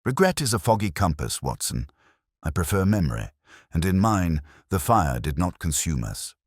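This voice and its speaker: A man reading the part of an aging, detached, brooding gentleman detective in a Victorian audiobook, speaking in grave, cryptic pronouncements. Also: low voice, very animated, moderate pace, slightly rough, no echo, clean studio sound, exaggerated voice. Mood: reflective, grave.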